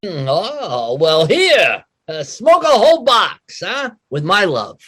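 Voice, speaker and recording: A man performs a fawning working-class rival in old-time radio comedy style. He is high-pitched and very animated, recorded close and dry, tossing out pushy, ungrammatical wisecracks. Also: slow pace, no echo, exaggerated voice.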